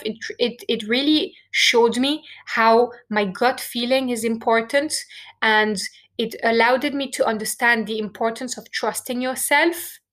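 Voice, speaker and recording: A woman's voice: fairly high pitch, a bit bright. A woman with a French accent, a native French speaker from France, sharing a personal story in an earnest, heartfelt monologue to her listeners. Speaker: podcast host.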